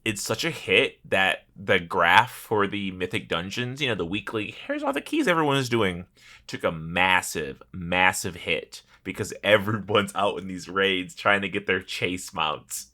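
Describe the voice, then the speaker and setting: Animated, forceful low-voiced man, swearing freely. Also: a little slow. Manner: profane, blunt, animated storytelling. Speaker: podcast co-host. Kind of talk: casual chat about online gaming.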